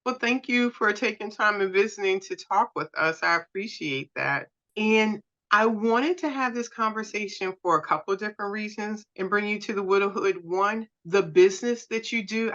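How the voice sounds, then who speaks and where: A woman's voice: medium pitch, fairly bright tone, lo-fi sound. A woman hosting a podcast, asking earnest, searching questions in a casual interview over a video call. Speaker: podcast host.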